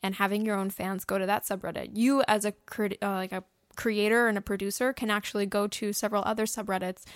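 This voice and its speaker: A woman's voice: medium pitch, level tone, quick pace, no echo, studio quality. A young woman co-hosting a podcast, giving casual, earnest and encouraging advice. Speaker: podcast host and audio drama producer. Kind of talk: casual how-to podcast talk.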